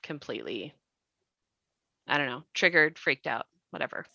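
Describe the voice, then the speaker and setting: A woman talking earnestly and deliberately in a reflective podcast discussion, offering supportive advice. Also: a bass voice, big pitch swings, gravelly, sharp tone, no room sound, highs cut off. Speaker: podcast panelist and creator.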